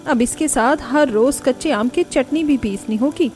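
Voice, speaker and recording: A woman's voice: high voice, moderate pace, a little mellow, faint music behind, natural voice. A woman voicing an overworked young daughter-in-law in a Hindi family cartoon. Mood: irritated. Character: young daughter-in-law, overworked, grumbling about kitchen chores.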